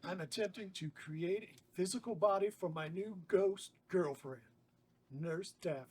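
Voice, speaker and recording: A man voicing a crude, grumpy male schemer in a put-on Cockney accent, gruff and snappy, at a script table read. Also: sits high, a little slow, a little mellow. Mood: scheming.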